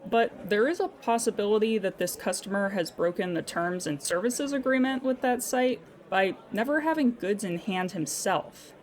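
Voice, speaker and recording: Woman acting a sardonic, mid-pitched claims agent. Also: moderate pace, hardly any pauses, a little hiss or hum, close to an everyday voice. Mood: businesslike, weary. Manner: dry, exasperated asides amid businesslike dictation. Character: weary, sardonic claims agent recording case notes. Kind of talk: audio drama monologue.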